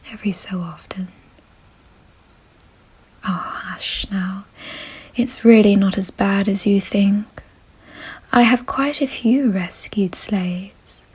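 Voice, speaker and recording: A woman voicing a gentle, refined, doting vampire mistress in a soothing, softly possessive ASMR roleplay whisper. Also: mid-range voice, poor audio. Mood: reassuring. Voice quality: breathy, husky whisper.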